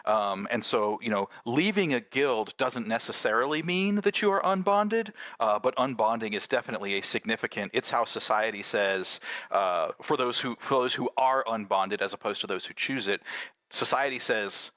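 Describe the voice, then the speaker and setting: A man's voice: medium pitch, moderate pace, sharp tone, no room sound. A man who is a game creator, talking casually in an enthusiastic, explanatory way. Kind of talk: casual livestream chat about creative work.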